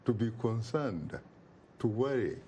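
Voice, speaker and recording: A man speaks as an interview guest in a warm, mellow voice. He is emphatic and oratorical and drives his points home through repetition. Faint noise sits under the recording. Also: on the low side, lively intonation, a little slow. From Uganda. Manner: emphatic and oratorical, repeats phrases for effect. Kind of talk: impassioned interview talk.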